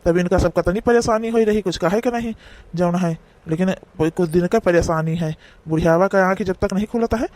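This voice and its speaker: A man's voice: high voice, fairly quick, darkish tone, lightly acted. A man voicing a stern, cranky old village father-in-law in a comedy cartoon, scolding at length with an Awadhi accent. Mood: grumbling. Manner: scolding, rambling, emphatic.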